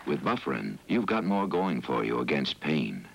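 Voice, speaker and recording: A man's voice: rumbling low, very animated, normal speed, gravelly, a bit bright, a little hiss or hum, poor audio. An American man reading a scripted 1960s television commercial as the announcer. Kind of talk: 1960s TV ad voice-over.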